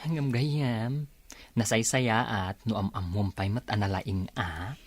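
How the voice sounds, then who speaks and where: Actress as a very deep-voiced, teasing female companion. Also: fairly even intonation, slow, a little mellow, dry recording, full character voice. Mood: teasing, curious. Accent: Ilocano. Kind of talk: Ilocano radio drama acting.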